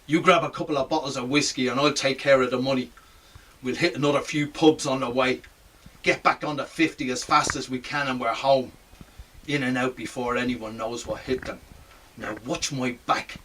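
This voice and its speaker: A man's voice: medium pitch, fairly even intonation, normal speed, a bit bright, distant mic, a little hiss or hum, natural voice, poor audio. An Irish man playing a bossy, impatient small-time robber in a radio drama. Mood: plotting. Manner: commanding, impatient.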